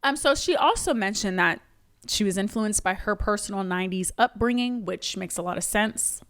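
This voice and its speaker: A woman's voice: mid-range voice, moderate pace, a bit bright. A woman co-hosting a casual movie review podcast, chatty and hesitant with frequent ums. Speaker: podcast co-host.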